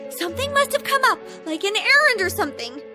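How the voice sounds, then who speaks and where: Game schoolgirl: very high American voice, excitable and feisty, emphatic casual delivery, music and crowd behind. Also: quick pace. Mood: alarmed. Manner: emphatic, expressive, informal. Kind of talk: English video game voice acting.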